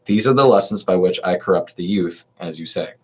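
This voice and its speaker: A man reading aloud as an old male philosopher defending himself at trial, in an even reading voice with small restarts. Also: low-pitched, fairly quick. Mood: defiant.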